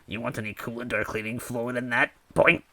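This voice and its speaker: A man's voice: mid-range voice, brisk, bright, forceful tone, pitched above the performer's own voice. A man voicing a cheerful, hopping robot café waiter who punctuates his talk with "boing". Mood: chirpy, eager.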